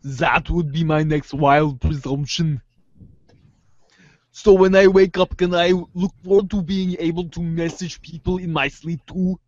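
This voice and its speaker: A man's voice: on the high side, steady tone, measured pace, no room sound, dull audio, lightly acted. A man voicing a sardonic, analytical teenage girl with elaborate, pompous wording in an amateur readers' theater. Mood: dry, probing.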